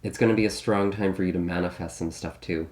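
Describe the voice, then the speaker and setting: A man giving a casual tarot reading, slightly raspy from a recent illness, musing and thinking aloud with many hedges and restarts. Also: low-pitched, fairly even intonation, quick pace, darkish tone, a little hiss or hum. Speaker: tarot reader.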